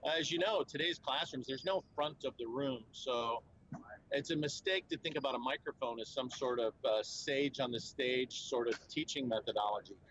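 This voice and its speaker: A man talks earnestly at a trade show, walking through his points with easy fluency and the odd filler or restart. His voice sits at an ordinary middle pitch. A faint hum of background noise runs under the recording. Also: moderate pace, a bit bright, lo-fi sound. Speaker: company spokesman at a trade show. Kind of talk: conversational explainer interview.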